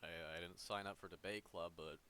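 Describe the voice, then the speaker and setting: A man's voice: deep voice, steady tone, fairly quick, pushed and bright. A man co-hosting a casual live stream, dropping wry, dry remarks. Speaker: stream co-host.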